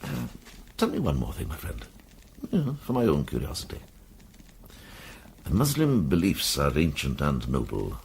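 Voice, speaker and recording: Radio drama: elderly monk, very deep voice with wide, lively swings, wise, reassuring, gently probing; some background noise. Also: male voice, moderate pace, creaky, pauses often, exaggerated voice. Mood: curious. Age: older. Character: kindly, wise, worldly old medieval monk.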